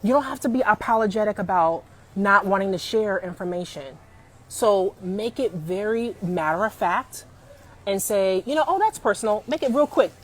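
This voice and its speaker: A woman in her forties giving career advice on a live stream, emphatic and animated, often calling out to viewers and laughing. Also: medium pitch, moderate pace. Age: 40s.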